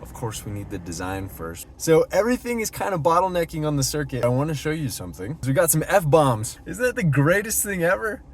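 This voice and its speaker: A man's voice: a little high, big pitch swings, fairly quick, few pauses, slight background noise. A young man vlogging casually about his startup, jokey and rambling as he tells stories. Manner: upbeat, jokey, rambling storyteller. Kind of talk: casual vlog chat.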